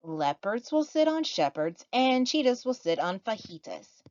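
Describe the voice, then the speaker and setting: A woman's voice: fairly high pitch, big pitch swings, measured pace, darkish tone, no room sound, highs cut off, pitched above the performer's own voice. A woman voicing a bossy, smug storybook frog who lays down rules in a commanding sing-song. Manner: commanding, matter-of-fact, sing-song rhyming.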